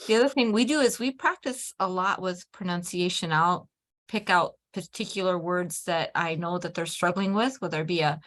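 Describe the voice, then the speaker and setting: A woman who teaches adult English learners, offering practical classroom advice in a relaxed discussion with other teachers. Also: on the low side. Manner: measured, practical advice with fillers. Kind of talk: casual discussion among teachers.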